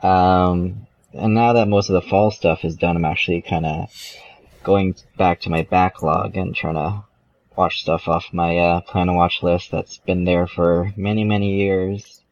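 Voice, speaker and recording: Deep male voice on a dull mic. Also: steady tone, unhurried. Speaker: podcast co-host. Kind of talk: casual chat. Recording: dull, lo-fi microphone sound.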